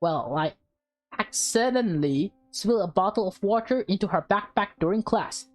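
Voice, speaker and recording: A woman's voice: mid-range voice, animated, normal speed, faint music behind, lightly acted. Woman doing a cheeky, dramatic teenage schoolgirl. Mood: smug. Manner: defiant, dramatic. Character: cheeky teenage schoolgirl, scheming and easily panicked.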